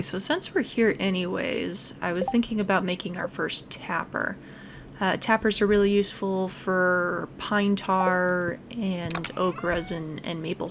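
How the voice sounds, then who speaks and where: A woman's voice: on the low side, vocal fry. A woman giving casual let's play commentary as the host of a gaming video. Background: music.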